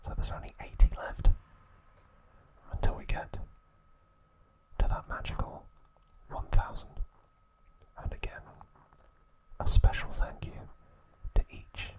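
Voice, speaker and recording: A man hosting an ASMR channel, whispering trivia softly and gently. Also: poor audio. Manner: soft unvoiced whisper, slow and gentle.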